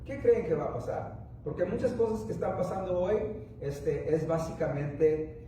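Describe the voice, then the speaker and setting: Halting preacher in an echoey church. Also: male voice, a little high, expressive, dark tone, recorded from a distance, slight background noise. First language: English. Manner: rambling, halting with many restarts and fillers. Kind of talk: sermon.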